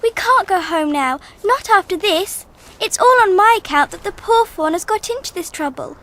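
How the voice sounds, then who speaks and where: A woman's voice: extremely high pitch, lively intonation, normal speed, a bit bright. A woman playing an earnest, kind-hearted little girl in a radio drama. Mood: earnest pleading.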